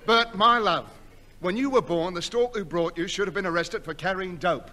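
A man's voice: high voice, normal speed, lightly acted. An actor playing a sarcastic small-time conman, bossy and wisecracking in 1960s radio sitcom style. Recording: noisy old radio broadcast.

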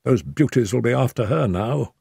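A man voicing an injured detective who mutters in a weak, hoarse whisper, wry and scheming, in an audiobook. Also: mid-range voice, lively intonation, moderate pace, dark tone, no room sound, studio quality, pitched above the performer's own voice. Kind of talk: audiobook character voice.

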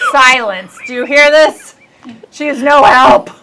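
A woman's voice: high voice, takes it slowly, background noise. A woman in her forties from Ohio with a Midwestern accent, hosting a casual comedic chat, telling bawdy stories with lots of laughter. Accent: Midwestern American. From Ohio, USA. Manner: chatty, laughing, bawdy storyteller. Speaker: comedian host. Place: small studio set, seated indoors.

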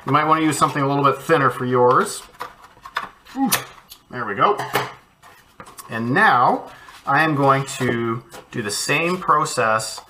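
A man's voice: mid-range voice, measured pace, long pauses, background noise. A man, an art educator, casually walking kids through a cardboard craft project from his home studio, encouraging and thinking aloud as he works.

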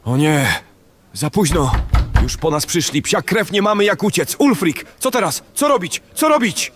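A man acting a selfish, panicky bandit in a fantasy audio drama, with an excitable, colloquial way of speaking. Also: high voice, moderate pace, background noise. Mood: panicked.

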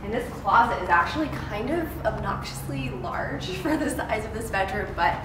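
A woman's voice: on the high side, expressive, moderate pace, music in the background. A young American woman giving an enthusiastic, casual house tour as a vlogger. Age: young adult. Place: bare framed house interior, indoors. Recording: echoey empty room, distant mic, clear noise.

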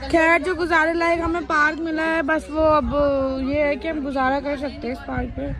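A woman's voice: sits high, little pitch movement, moderate pace. A young woman vlogging casually at an outdoor water park, talking into a phone held at arm's length, playful and complaining. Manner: complaining, playful, talks straight to the camera. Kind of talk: casual vlog chat.

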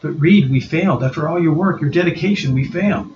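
A man's voice: a little high, fast talker, darkish tone, slight background noise, voice pushed up, natural voice. A man reading a comic aloud and voicing a fretful young woman who pleads breathlessly, in a dull, roomy recording. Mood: distressed. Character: Susan, young woman, anxious and tender.

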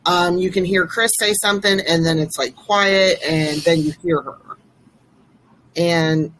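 Casting: woman in her 40s, deep low voice, rambling podcast chatter, webcam sound with faint hiss. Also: measured pace, a bit bright, rough recording. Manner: rambling, lots of fillers and restarts. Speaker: podcast co-host. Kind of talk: casual chat.

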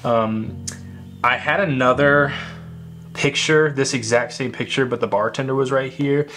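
Laid-back young male photographer, loose rambling delivery, average pitch, soft guitar bed. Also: measured pace. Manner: relaxed, rambling, many fillers. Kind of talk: casual screen walkthrough. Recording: faint guitar music underneath. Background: faint guitar music.

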